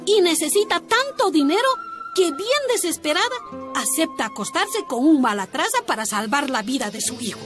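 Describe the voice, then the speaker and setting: Outspoken, indignant heroine, Bolivian Spanish, high animated voice, radio play with music. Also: female voice, moderate pace. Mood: defiant. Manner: passionate, argumentative, indignant. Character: defiant, outspoken working-class woman. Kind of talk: radio drama acting.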